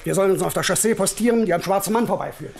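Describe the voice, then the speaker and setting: An actor playing a brisk, urgent police officer giving a clipped official report in a German radio crime drama. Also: male voice, high-pitched, creaky, a little hiss or hum.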